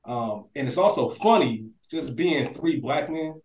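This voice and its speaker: A man's voice: mid-range voice, lively intonation, measured pace, darkish tone. A man with a mid-range voice speaks in a very echoey room. He co-hosts a podcast, thinking aloud and explaining casually in a conversational flow. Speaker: podcast co-host. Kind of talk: casual discussion.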